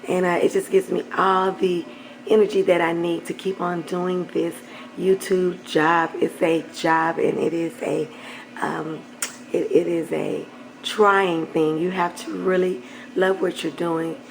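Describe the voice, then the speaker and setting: A middle-aged woman hosts a home cooking video, her voice hoarse and gravelly. She talks to her viewers in a chatty, affectionate way, keeping her pitch fairly low. A faint hiss sits behind her in the kitchen. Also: level tone, a little slow, rough recording. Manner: chatty, affectionate with viewers, clears throat often. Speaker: cooking channel host. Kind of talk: casual cooking vlog chat.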